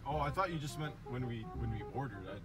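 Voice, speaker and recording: A man's voice: mid-range voice, lively intonation, fast talker, over loud music. A young man in casual, slangy banter with friends, often exclaiming, recorded on a handheld camera inside a car. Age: young adult. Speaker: prank vlogger. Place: inside a car at drive-thrus at night.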